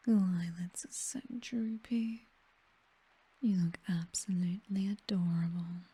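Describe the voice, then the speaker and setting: Casting: woman to play possessive vampire noblewoman, British accent, soft dark whisper, unhurried, tender yet commanding, for close-mic ASMR roleplay. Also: mid-range voice, no room sound. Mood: tender, soothing. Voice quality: breathy, whispery. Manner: slow, tender, teasing, possessive. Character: possessive, doting vampire noblewoman, commanding mistress to her butler. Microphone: close microphone, dry.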